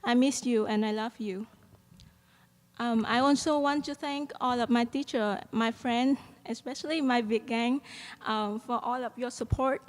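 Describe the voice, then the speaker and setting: A young Vietnamese-accented woman speaks earnestly at a podium microphone and fills her pauses with soft "um"s. Her voice sits fairly high. It rings out with a long reverb through a large hall. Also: fairly even intonation. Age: young adult. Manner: earnest, with frequent "um" fillers and light laughs. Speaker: student speaker at a graduation. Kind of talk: commencement speech.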